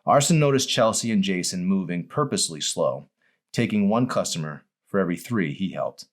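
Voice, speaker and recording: Male author, audiobook narration, deep voice, animated intonation, expressive. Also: brisk. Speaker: author reading own novel.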